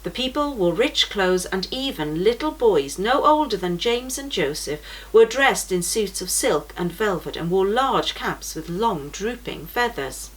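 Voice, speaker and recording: A woman reading a children's story aloud for a church program, in a gentle, measured storytelling manner. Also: mid-range voice, expressive, breathy edge, rough recording.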